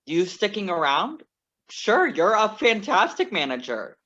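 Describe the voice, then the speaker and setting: A man's voice: sits high, wildly swooping pitch, measured pace, pushed and bright, heavily acted. A young man reading the part of a famous, restless young singer in a virtual staged reading, with a wry, teasing delivery. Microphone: video call. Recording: muffled lo-fi video call audio.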